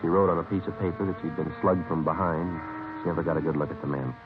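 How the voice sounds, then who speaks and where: An actor playing a terse, businesslike American police detective in a 1950s radio crime drama, clipped and deadpan. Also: male voice, sits low, fast, natural voice. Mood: matter-of-fact. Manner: clipped, deadpan, matter-of-fact. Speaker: police detective narrator and lead. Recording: muffled vintage radio recording with music.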